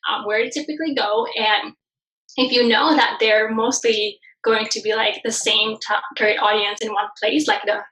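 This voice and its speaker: A woman from Bali, Indonesia, in her thirties, speaks as a podcast guest. Her voice sits a little high and sounds bright and forward. She tells her story in a chatty, animated way and laughs often. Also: normal speed, poor audio. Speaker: guest, branding expert. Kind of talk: casual podcast interview.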